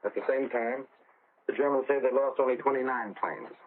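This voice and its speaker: A man giving a scripted 1940s radio news report as a correspondent, measured and careful to make figures clear. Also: mid-range voice, steady tone. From United States. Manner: measured, repeats figures for clarity. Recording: crackly, phone-like 1940 shortwave radio relay.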